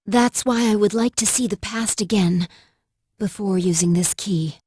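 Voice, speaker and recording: Soft, slightly husky android girl. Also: medium pitch, unhurried, no room sound, clean studio sound. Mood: calm, resolute. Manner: precise, formal. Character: polite, earnest, calmly resolute android girl. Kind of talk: anime game English dub acting.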